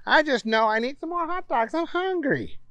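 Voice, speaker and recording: A reader voicing a greedy, boastful man obsessed with hot dogs, who talks loud, high and drawn-out. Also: lively intonation, dry recording, highs cut off. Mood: demanding.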